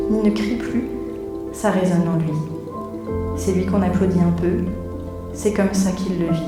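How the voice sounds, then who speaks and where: A woman, a theatre director, addressing an audience live from a theatre, in the manner of a welcome and read-aloud story. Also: mid-range voice. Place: theatre auditorium, before an audience. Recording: roomy echo, music underneath.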